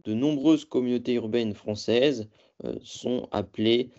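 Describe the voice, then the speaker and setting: A man presenting a training lecture from slides, explaining in a halting, semi-improvised way and often correcting himself. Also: medium pitch, mellow, dull audio. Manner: halting, self-correcting delivery. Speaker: presenter of a training course on local government.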